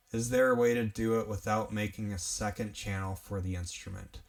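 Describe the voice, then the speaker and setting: A young man with an upstate New York accent and a low, gravelly voice with a bright edge. He talks through a casual live music-gear tutorial in a relaxed, chatty way, laughing easily, and sounds a little distant from the mic. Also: moderate pace. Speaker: livestream host teaching music gear.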